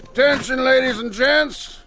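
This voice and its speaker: An actor playing a loud, jeering traveling peddler in a radio drama, with theatrical sales patter and a gravelly voice. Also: male voice, very high-pitched, unhurried, background noise, exaggerated voice. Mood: hawking, jolly.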